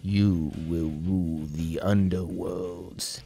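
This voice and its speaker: Guy putting on a deep stern cartoon cat hero, slow n melodramatic, soft music behind. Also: fairly even intonation, gravelly, exaggerated voice. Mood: menacing. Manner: slow, solemn, melodramatic delivery. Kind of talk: absurd fan fiction audiobook reading.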